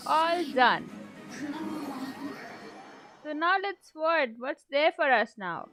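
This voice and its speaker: A high-voiced woman with an Indian accent comments casually on a game as she plays. She speaks in short, reactive exclamations, with game music clearly behind her. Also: big pitch swings, slow. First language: Hindi. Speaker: gamer commenting on gameplay.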